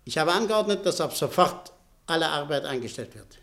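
An actor playing a shrewd, self-assured company director in a German radio play, controlled, courteous and businesslike. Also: male voice, on the high side, animated, brisk, a bit bright. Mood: measured.

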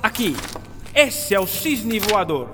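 Eccentric Italian inventor, very high-pitched, theatrical accent, fond and boastful, radio play, reverberant. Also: male voice, big pitch swings, slow pace, music in the background, heavily acted. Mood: fond, proud. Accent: put-on Italian. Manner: theatrical storytelling peppered with Italian words. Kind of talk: radio drama acting.

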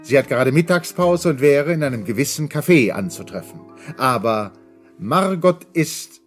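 An actor as a wry, musing narrator of a German radio play, given to playful asides. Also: male voice, mid-range voice, animated, moderate pace, soft background music, natural voice.

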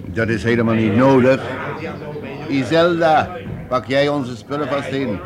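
An actor playing a firm, measured travelling minstrel in a radio drama. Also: male voice, medium pitch, crowd noise, natural voice. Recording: echoey hall with long reverb.

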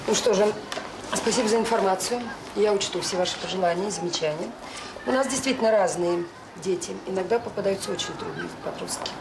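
Actress as a brisk, dryly ironic orphanage director. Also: female voice, medium pitch, big pitch swings, background noise, natural voice. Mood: politely ironic. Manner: polite, ironic, pointed. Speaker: actress in an audio drama. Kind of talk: naturalistic Soviet radio drama acting.

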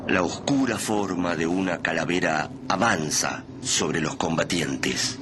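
A man's voice: deep voice, unhurried. A man narrating a radio drama in a solemn, literary, dramatic style. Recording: clear background noise and ambience.

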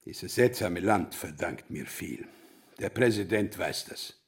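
A low, gravelly male ghost of a proud scientist in a radio play. His breathy delivery swings widely, theatrical, halting and condescending. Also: animated, exaggerated voice. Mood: evasive, lofty. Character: arrogant, anguished ghost of a male scientist friend. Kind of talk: radio drama acting.

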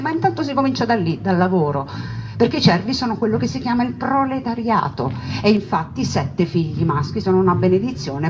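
A woman telling a story as a theatrical monologue, conversational and emphatic, with deliberate repetitions and asides to her listeners. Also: mid-range voice. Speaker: storyteller, stage narrator. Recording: dull lo-fi recording with clear background noise.

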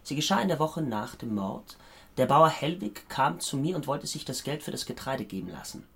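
An actor playing a guarded, evasive man in his twenties under interrogation, hesitant and husky, in a radio play. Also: medium pitch, sing-song, moderate pace, full character voice. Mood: guarded, careful. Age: 20s. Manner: hesitant, careful. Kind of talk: German radio play acting.

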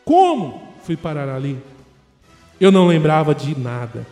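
A man's voice: on the high side, big pitch swings, unhurried, warm tone. A man playing a disoriented, humiliated character giving a solemn first-person monologue in an audio drama. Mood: dazed, solemn. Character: disoriented, humiliated man recounting his grim awakening. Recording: long echoing reverb, music behind.

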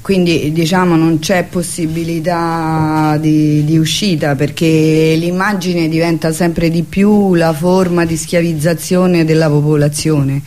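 Lowish-voiced woman giving opinions. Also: level tone, measured pace, few pauses, a little mellow. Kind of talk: casual opinionated conversation.

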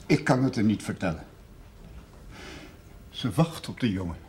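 A man's voice: fairly low pitch, expressive, measured pace, darkish tone. An actor playing a burdened, anguished father in a television period drama. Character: anguished father, burdened, unable to break bad news. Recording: faint background noise, old TV soundtrack.

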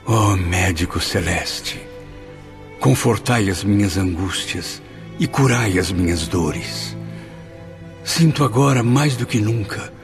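Deep, creaky, sorrowful praying spirit. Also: male voice, slow, music in the background. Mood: anguished hope. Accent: Brazilian. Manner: grave, earnest, prayerful. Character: sorrowful repentant male spirit, praying with new hope. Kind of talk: solemn Brazilian radio drama monologue.

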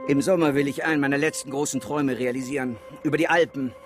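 A man's voice: mid-range voice, fairly even intonation, fast, faint music behind, natural voice. An actor playing an ailing, adventurous man in a German radio drama, indignant and theatrical, swinging between brooding and exclamation. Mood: musing.

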